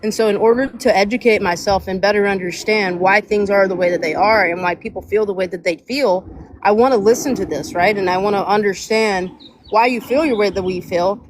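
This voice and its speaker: A woman's voice: mid-range voice, brisk, slight background noise. A woman on an online panel, speaking in a hesitant, conciliatory way with many fillers. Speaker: panel guest.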